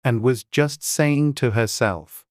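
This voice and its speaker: A woman narrating a storybook audiobook from a script in a measured, unhurried way. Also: extremely low pitch, darkish tone, no echo, studio quality.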